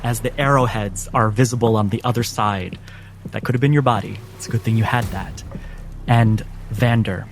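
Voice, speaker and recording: A man acting as game master, narrating a tabletop game with dramatic, deliberate phrasing. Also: fairly low pitch. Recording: music behind the voice. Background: background music.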